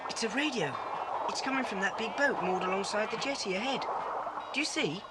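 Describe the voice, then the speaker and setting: Noisy old story tape, actor as a keen sensible teen boy, medium pitch, earnest and reassuring. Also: expressive, brisk, lightly acted. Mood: calm, assured. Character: sensible, eager teenage boy adventurer. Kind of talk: 1980s audio drama acting. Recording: noisy old cassette tape, engine sounds.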